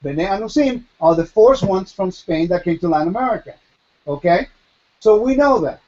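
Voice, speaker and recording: A man's voice: high-pitched, animated, normal speed, warm tone, muffled recording. A man from Puerto Rico teaching Torah in a question-and-answer session, emphatic and posing questions he then answers himself. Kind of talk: Q&A Bible teaching.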